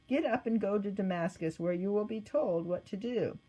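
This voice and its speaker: Woman as a commanding, mellow heavenly voice. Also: medium pitch, normal speed, breathy edge, pitched above the performer's own voice, lightly acted, rough recording. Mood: solemn, commanding. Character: commanding divine male voice from heaven, solemn, firm.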